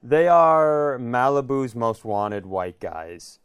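A man's voice: medium pitch, expressive, takes it slowly, smooth, dry recording. A middle-aged American man co-hosting a podcast, telling comic stories casually and emphatically, repeating phrases for effect. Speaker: podcast co-host. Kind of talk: animated comedic storytelling in casual chat.

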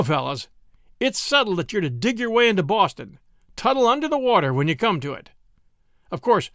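A man's voice: high voice, a bit bright, no room sound, studio quality, voice pushed up, full character voice. A man voicing a grumbling young soldier-cook who snarls and mocks his comrades. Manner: snarling, sarcastic. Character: grumbling young male camp cook, sulky and defiant.

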